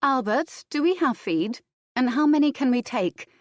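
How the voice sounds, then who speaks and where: A woman voicing a proud young noblewoman who gives cold, sharp commands. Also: high voice, expressive, moderate pace, no room sound, clean studio sound, pitched above the performer's own voice. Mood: brisk.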